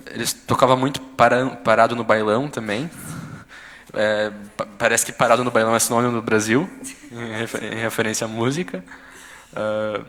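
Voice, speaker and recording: A man's voice: fairly low pitch, fairly bright tone. A young man with a Brazilian Portuguese accent gives an informal account in a conversational, somewhat hesitant way. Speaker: exchange student giving a testimony. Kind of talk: informal spoken account at a public session. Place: council chamber. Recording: echoey hall with long reverb.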